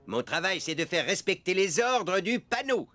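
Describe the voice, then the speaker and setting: Bossy cartoon warden, sharp bright voice, very animated scolding, bit of music behind. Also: male voice, high voice, moderate pace, exaggerated voice. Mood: stern. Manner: officious, scolding. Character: bossy, officious male sign warden, stern stickler. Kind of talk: French children's cartoon voice acting.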